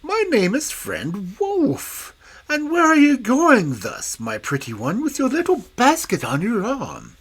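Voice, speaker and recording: A woman's voice: fairly high pitch, huge pitch swings, measured pace, breathy edge, pitched above the performer's own voice, exaggerated voice. A woman voicing a sly, scheming male wolf who talks in a coaxing, falsely sweet way.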